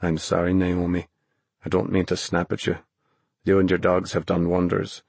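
A glum young traveler, played by a male narrator speaks low and subdued. He sounds discouraged and apologetic, a downcast audiobook hero in a dry recording. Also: steady tone, moderate pace, darkish tone, husky, no echo. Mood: dejected. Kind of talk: audiobook character voice.

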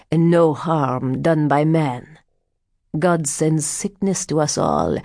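A woman plays a withered old fortune-teller man from a gothic storybook. He is sly and superstitious, speaking low and very slowly. His foreboding words break into exclamations and muttered asides. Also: warm tone, no echo, clean studio sound, exaggerated voice. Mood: grave, knowing. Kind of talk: audiobook character dialogue.

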